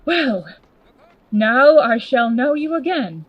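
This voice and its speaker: A woman playing a grave, worried gentleman lawyer in a Gothic radio play, formal and earnest in manner. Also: high-pitched, animated, takes it slowly, dry recording, lo-fi sound, full character voice. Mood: wary, polite.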